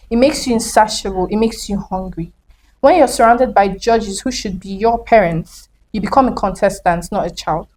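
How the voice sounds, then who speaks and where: A young woman reads her own prose in a slow, reflective spoken-word style, then turns to asking questions as host. Her voice sits at an ordinary middle pitch. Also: darkish tone, rough recording. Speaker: host and writer.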